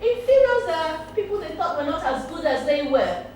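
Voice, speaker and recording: A woman telling a story to children, pausing to explain and ask questions. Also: very high voice, big pitch swings, a little mellow. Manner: explains words, asks questions. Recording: echoey, distant mic, music behind.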